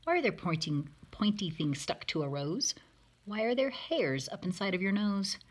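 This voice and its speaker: A woman's voice: mid-range voice, big pitch swings, normal speed, slightly rough, pitched above the performer's own voice. Woman as a curious, insistent little storybook girl. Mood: inquisitive. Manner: questioning, insistent. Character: curious, persistent little girl full of questions.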